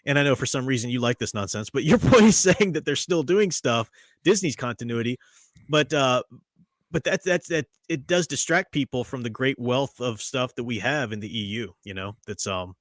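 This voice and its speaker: A man's voice: mid-range voice, animated, moderate pace, no echo. A man hosting a casual podcast chat, opinionated and chatty, laughing often. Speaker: podcast host.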